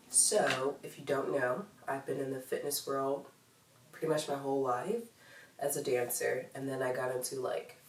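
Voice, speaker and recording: A woman's voice: very deep voice, darkish tone. Nasal American woman, roomy distant sound. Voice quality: nasal, congested. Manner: chatty, loose and informal. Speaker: fitness vlogger. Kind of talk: casual vlog update to camera. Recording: roomy echo, distant microphone.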